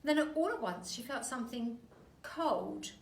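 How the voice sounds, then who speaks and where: A woman reading a children's story aloud like a teacher, expressively and with deliberate, dramatic emphasis. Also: fairly high pitch, animated, creaky. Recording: roomy echo, distant mic, faint noise.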